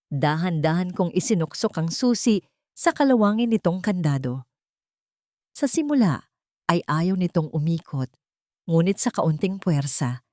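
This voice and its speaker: A woman reading a scripted first-person dramatic story in a measured, literary way. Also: fairly low pitch, a little mellow, no room sound, studio quality. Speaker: narrator of a fictional love drama.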